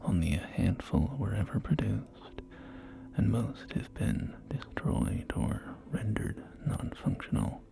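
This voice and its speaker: A man voicing a polite wasteland real estate agent in a whispered ASMR roleplay, with formal, softly persuasive sales patter. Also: on the low side, wildly swooping pitch, takes it slowly, gravelly, heavily acted. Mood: smooth selling.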